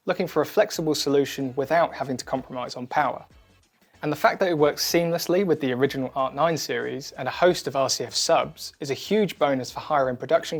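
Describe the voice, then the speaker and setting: A man with a slightly high voice narrates a scripted product demonstration. He speaks clearly and methodically, walking the listener through instructions step by step. Soft music plays behind him. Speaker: product demo presenter. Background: background music.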